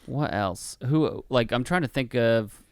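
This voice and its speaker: Man, podcast co-host, clear smooth voice, close dry sound, casual slangy chat, sweary. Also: mid-range voice, animated, measured pace, darkish tone, no room sound. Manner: profane, slangy. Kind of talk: casual film review chat.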